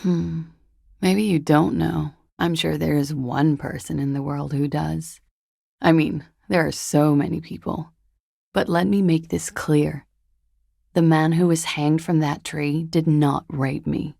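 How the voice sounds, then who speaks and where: An actress playing a flippant, worldly, evasive young woman in a video game, speaking with a languid, deadpan wryness. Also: low voice, a little slow, creaky, dry recording, clean studio sound, full character voice. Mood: wry, teasing, then firm, quiet. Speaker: video game character voice actor. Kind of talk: video game dialogue acting.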